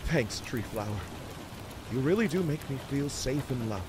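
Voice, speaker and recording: Man voicing a lovestruck cartoon beaver, swooping expressive pitch. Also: normal speed, background noise, lightly acted. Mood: tender, sleepy. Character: lovestruck, insecure young male cartoon beaver. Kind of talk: cartoon fan fiction audio reading.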